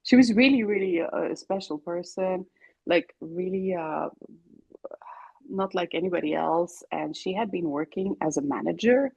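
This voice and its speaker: Swedish-born woman guest, low husky voice, relaxed and chatty, laughs easily. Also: normal speed, dry recording, highs cut off. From Sweden. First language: Greek and Finnish. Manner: relaxed, laughs often, many fillers and restarts. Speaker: guest singer-songwriter. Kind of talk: casual interview chat.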